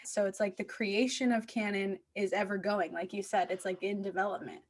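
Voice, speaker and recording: A woman's voice: mid-range voice, fairly even intonation, brisk. A woman hosting a podcast in casual chat, with an informal, conversational way of talking full of "like". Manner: informal, peppered with "like". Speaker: podcast host.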